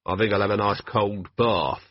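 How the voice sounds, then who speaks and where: A man's voice: on the low side, fairly even intonation, moderate pace, no room sound, highs cut off, natural voice. A man doing a weary, sardonic watch commander. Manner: dry, weary.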